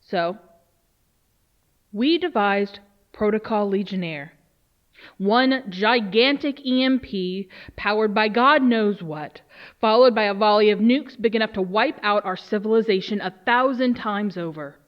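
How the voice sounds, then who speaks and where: A woman acting as a grave, resolute research official in an audio drama. She speaks at a middling pitch with solemn, deliberate weight. The recording is a little muffled. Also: lightly acted. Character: grave female research official briefing on a doomsday alien threat. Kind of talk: dramatic scripted monologue.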